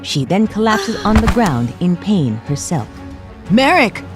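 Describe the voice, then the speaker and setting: A woman's voice: fairly low pitch, sing-song, a little slow. A woman narrating a tabletop fantasy adventure as game master, in dramatic scripted storytelling style. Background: music.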